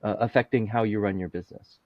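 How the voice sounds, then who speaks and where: A man hosting a podcast, asking measured questions and offering brief murmurs of agreement. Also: on the low side, fairly even intonation, dry recording. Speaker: podcast host. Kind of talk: interviewing. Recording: dull, dry sound with faint music.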